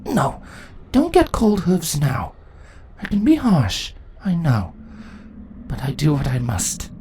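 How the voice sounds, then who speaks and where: A man voicing a ruthless, imperious female changeling insect queen in a story reading, haughty and deliberate in delivery. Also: a little high, very animated, darkish tone, slightly rough, exaggerated voice. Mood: uneasy, wistful. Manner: haughty, deliberate, grandly self-justifying.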